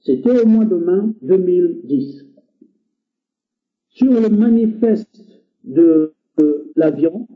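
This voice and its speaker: Congolese guy calling in, urging and earnest, kinda high voice, thin phone-like sound. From Democratic Republic of the Congo. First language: Lingala or another Congolese language. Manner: earnest and exhorting, with frequent filler hesitations. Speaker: guest speaker. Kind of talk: impassioned call-in talk. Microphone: internet call. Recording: tinny phone-like line.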